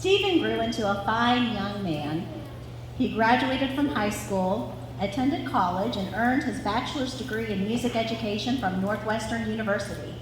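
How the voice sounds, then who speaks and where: A woman's voice: on the high side, expressive, normal speed. A woman reading a scripted family story aloud to a live audience. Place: large reverberant room at a family gathering. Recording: very echoey hall with clear background noise.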